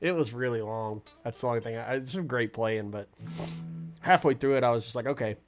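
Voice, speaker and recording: A man with a stuffy nose chats loosely to a livestream audience, rambling and restarting his sentences. Guitar music plays clearly behind him the whole time. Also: medium pitch, fairly quick. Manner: rambling, relaxed, lots of fillers and restarts. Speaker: livestream host answering chat. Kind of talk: casual livestream chat.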